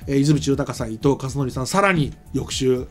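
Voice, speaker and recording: A man's voice: medium pitch, moderate pace, soft background music. A middle-aged man hosting a show, chatting casually and thinking aloud as he rambles through announcements. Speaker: show host and scriptwriter. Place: small studio set, indoors. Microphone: desk microphone on a stand.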